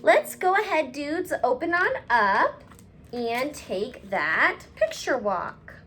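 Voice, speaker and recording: A woman reading a picture book aloud to children, expressive and cutesy, talking directly to her young listeners. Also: high voice, slow pace. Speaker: read-aloud host, storyteller for children.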